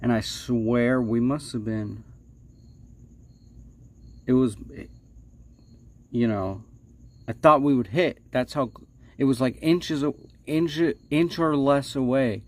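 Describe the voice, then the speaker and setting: A man hosts a livestream in a casual storytelling style, with a middling pitch. He rambles along haltingly, doubling back on his words. His delivery is playfully dramatic, with drawn-out phrases and hushed asides. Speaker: livestream host.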